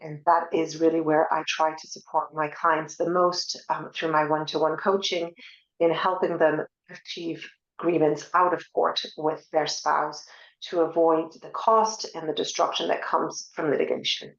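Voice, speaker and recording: A woman with a French accent speaks with a low voice. She talks in a measured way, with some fillers and restarts. Her video call audio sounds dull and echoey. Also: flat delivery. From France. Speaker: divorce coach. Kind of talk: webinar presentation.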